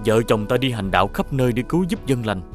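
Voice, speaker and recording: A man playing a benevolent, noble holy healer in an animated fairy tale, speaking solemnly and formally with authority. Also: mid-range voice, quick pace, soft background music, natural voice. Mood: calm, kindly authority. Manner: solemn, authoritative, formal old-fashioned speech.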